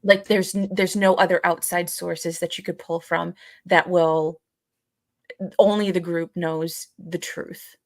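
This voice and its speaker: A woman co-hosting a podcast, explaining her points in a halting way and repeating phrases as she thinks them through. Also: fairly low pitch, flat delivery, dry recording, clean studio sound. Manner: halting, repetitive as thoughts are worked out. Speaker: co-host of a live podcast. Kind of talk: explanatory discussion.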